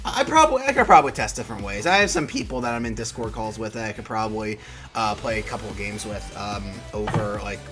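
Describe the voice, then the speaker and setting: A man's voice: lowish voice, expressive, fast talker, over music. Young man with a cold, rambling. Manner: rambling with many fillers and restarts. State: has a cold with a sore throat. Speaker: card game YouTuber. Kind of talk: casual deck profile vlog.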